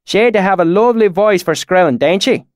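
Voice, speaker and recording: A man's voice: on the high side, animated, brisk, no echo, studio quality, voice pushed up, lightly acted. A man voicing a clever young man who puts on a folksy country dialect to win over a stranger. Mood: sly folksy. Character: young man slyly faking a rustic dialect.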